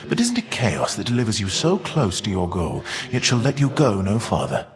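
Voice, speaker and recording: Vengeful Titan, low voice, very dynamic delivery, proud, archaic phrasing, dramatic video game villain. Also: male voice, wildly swooping pitch, normal speed. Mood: haughty taunting. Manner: grave, haughty, formal archaic phrasing. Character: proud, vengeful, defiant male fire-wielding Titan with foresight. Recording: long reverb tail.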